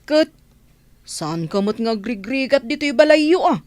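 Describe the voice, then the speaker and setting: A woman's voice: on the high side, expressive, a little slow, a little mellow, no echo. A woman playing a teasing village girlfriend. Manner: teasing, mocking.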